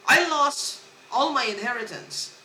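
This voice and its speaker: Earnest, halting woman in an echoey room. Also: high-pitched, animated, bright, forceful tone, a little hiss or hum. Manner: halting, with many restarts and repeated words; earnest and insistent. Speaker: vlogger. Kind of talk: rambling personal monologue to camera.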